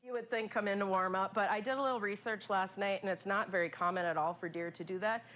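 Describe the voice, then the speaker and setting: A woman's voice: medium pitch, steady tone, quick pace, fairly bright tone. A woman, a shop owner, giving casual answers in a news interview.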